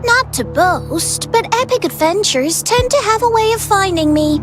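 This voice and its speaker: A woman's voice: very high voice, animated, normal speed, over music. A woman voicing a cheeky, boastful young girl adventurer in a video game, teasing and self-assured. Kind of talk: video game character dialogue.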